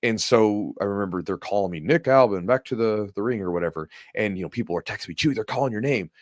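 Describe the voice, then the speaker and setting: Low-voiced man telling a story casually. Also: quick pace, no echo, clean studio sound. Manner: conversational, repeats words, lots of "you know". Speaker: podcast host and jiu-jitsu coach. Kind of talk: casual storytelling podcast talk.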